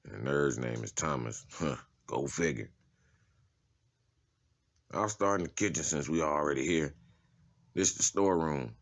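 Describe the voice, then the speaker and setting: A man's voice: rumbling low, lively intonation, moderate pace, long pauses. A man playing a tough, streetwise prison inmate in a comic-book drama, talking in a casual, slangy, profane way. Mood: wry, matter-of-fact. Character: tough, streetwise adult male prison inmate giving a tour.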